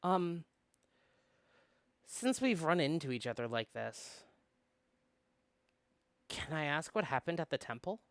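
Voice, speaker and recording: Man acting slow, hesitant young runaway patrol officer. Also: fairly high pitch, very animated, dry recording, full character voice. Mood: hesitant, probing. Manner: hesitant, halting, earnest. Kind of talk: amateur visual novel read-aloud acting.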